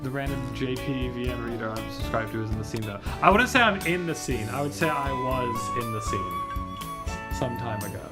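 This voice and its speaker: A man's voice: medium pitch, fairly quick, loud music behind the voice. A man livestreaming a visual novel readalong, chatting casually and thinking aloud in a rambling way. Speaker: streamer reading visual novels.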